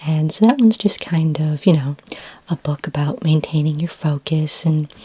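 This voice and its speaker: A woman with a low, mellow voice speaks very softly, close to a whisper, with plenty of breath in it. She plays a friendly, patient sci-fi librarian in an ASMR roleplay, guiding the listener gently and without hurry. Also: husky. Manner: gentle, unhurried, helpful customer-service patter. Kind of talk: soft-spoken ASMR roleplay. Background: computer keyboard typing.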